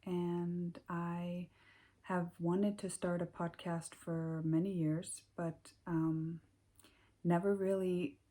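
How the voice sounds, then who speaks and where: An Icelandic woman speaking American English, hosting her knitting podcast in a hesitant, casual way with many pauses and fillers. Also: on the low side, level tone. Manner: hesitant, many ums and restarts, soft-spoken. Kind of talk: casual chat to camera.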